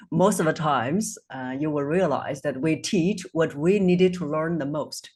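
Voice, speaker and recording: A woman in her forties, a voice coach based in Singapore, answering interview questions in a measured, earnest way, over a video call. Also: sits low, darkish tone, breathy edge.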